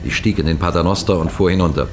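Low male voice, actor playing shrewd detective, composed, deliberate storytelling, radio mystery. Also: noisy background, natural voice. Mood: measured, suspenseful. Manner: measured, precise, deliberate storytelling. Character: composed, clever police detective recounting his case. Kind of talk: 1960s German radio mystery narration.

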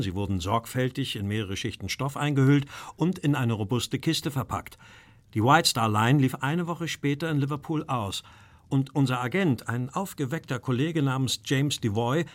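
A man's voice: medium pitch, lightly acted. A man playing an anxious, well-bred Victorian London art dealer telling his story in a German audio drama. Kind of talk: German audio drama acting, narrating flashbacks. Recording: music behind the voice.

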